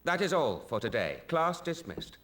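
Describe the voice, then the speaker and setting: A man voicing a gentle, weary male wizard teacher, speaking in a calm, kindly, soft-spoken way in a game cutscene. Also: fairly high pitch, normal speed, heavy background noise. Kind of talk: video game cutscene acting.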